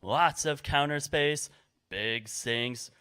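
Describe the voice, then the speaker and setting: A man's voice: medium pitch, takes it slowly, sharp tone, smooth. A man playing a coaxing real estate agent, eager to sell, in a scripted fairy-tale parody. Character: smooth-selling male real estate agent, eager to please. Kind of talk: scripted stage parody sales pitch.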